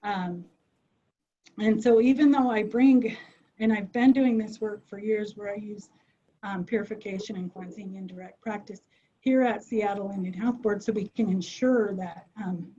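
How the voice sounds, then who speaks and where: A woman gives an earnest webinar presentation over a video call. Her voice is mellow and a little husky, and she leans on frequent "um" fillers as she works through her points. The call audio is dull and muffled. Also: mid-range voice, fairly even intonation, moderate pace. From United States. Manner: earnest, many "um" fillers, thinks aloud. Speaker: behavioral health clinician presenting.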